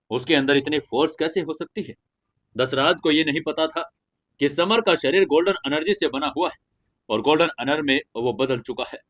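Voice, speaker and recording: Indian guy narrating a dramatic fantasy story, bit high voice, dry studio sound, theatrical. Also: a bit bright, no room sound.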